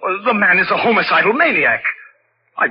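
A man's voice: high voice, expressive, fairly quick. An actor playing a scheming, murderous stepfather, formal, emphatic and indignant, in 1940s radio drama style. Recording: dull old radio broadcast, noisy.

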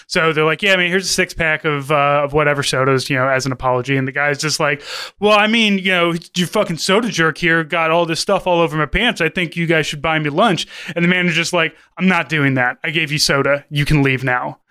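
A man guesting on a podcast, telling relaxed anecdotes in casual chat. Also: a little high, brisk, clean tone.

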